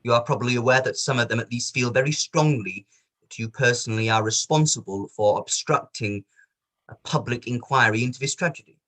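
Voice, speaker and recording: A male actor reads a barrister at a public inquiry in a courtroom drama. He is formal and courteous, with a measured, probing way of putting questions. His voice sits at a middling pitch. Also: bright, forceful tone. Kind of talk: online table read of a courtroom play.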